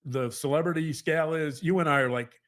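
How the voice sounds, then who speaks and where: A man with a fairly high voice talks in an earnest, conversational monologue. He is halting and repetitive, circling back to his points and stressing them. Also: fairly bright tone. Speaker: podcast co-host, psychologist.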